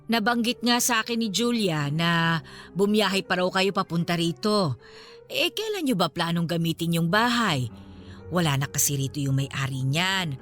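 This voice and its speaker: A woman's voice: lowish voice, animated, moderate pace, a bit bright, soft background music. A woman playing an elderly, modest, folksy caretaker of an old house in a Filipino radio drama, chatty and full of exclamations. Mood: plain, obliging. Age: older.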